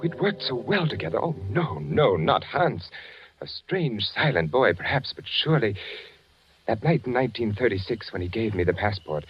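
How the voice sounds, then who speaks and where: An actor playing an anxious spy posing as a German architect, narrating and brooding aloud in 1940s radio drama style. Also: male voice, fairly low pitch, very animated, moderate pace, husky, heavily acted. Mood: anxious, doubting. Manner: brooding, questioning himself aloud. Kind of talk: 1940s radio acting, first-person narration and dialogue. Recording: muffled 1940s radio recording, music behind.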